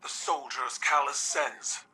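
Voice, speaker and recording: A brooding alien warrior chief in a game cutscene, measured and heavy with regret. His voice has a hard, tense edge and sits back from the mic. He speaks slowly, weighing each word. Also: medium pitch, fairly even intonation, bright, forceful tone, distant mic, poor audio. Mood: solemn. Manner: solemn, slow, measured. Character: brooding alien warrior leader, grave, proud. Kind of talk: video game cutscene acting.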